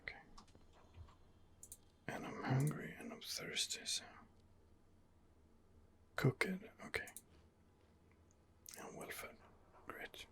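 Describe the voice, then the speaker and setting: A man narrating an ASMR game playthrough in a soft, hesitant half-whisper, thinking aloud as he goes. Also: takes it slowly, pauses often. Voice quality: soft, breathy half-whisper with vocal fry. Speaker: gamer role-playing a video game character.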